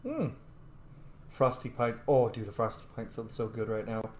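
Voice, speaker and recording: A man's voice: medium pitch, moderate pace. A man giving casual, informal commentary as he streams a game playthrough, with chatty asides. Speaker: gaming streamer. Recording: roomy echo, faint background noise.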